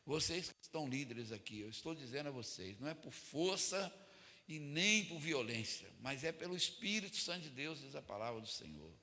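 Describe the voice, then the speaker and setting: A man's voice: mid-range voice, very animated, brisk, pushed and bright. A Brazilian man, an evangelical pastor, preaching informally to church leaders in a colloquial way, often seeking agreement from his listeners. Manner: colloquial, asks for amens and confirmation. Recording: echoey hall with long reverb.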